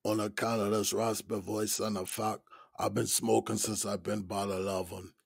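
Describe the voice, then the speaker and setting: An actor playing an easygoing, folksy older male inmate in his sixties in an audio drama, with a deep, raspy smoker's voice and a Gullah Geechee accent. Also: level tone, no echo, clean studio sound. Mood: folksy, relaxed. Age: 60s. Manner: folksy, unhurried, colloquial drawl.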